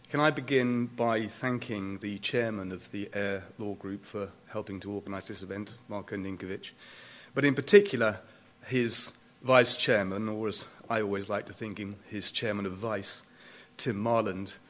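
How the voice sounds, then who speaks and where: Deliberate male speaker with dry wit. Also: lowish voice, a bit bright. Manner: deliberate, emphatic pauses, formal with dry wit. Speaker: closing speaker at a memorial event.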